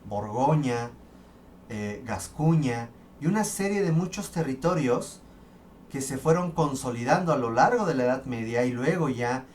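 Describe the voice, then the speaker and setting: A man lectures on history at a middle pitch, talking through each point step by step. He sits some distance from the mic, and a faint hiss runs under his voice. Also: animated, unhurried, darkish tone. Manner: explanatory, with fillers and restarts. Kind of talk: recorded history lesson.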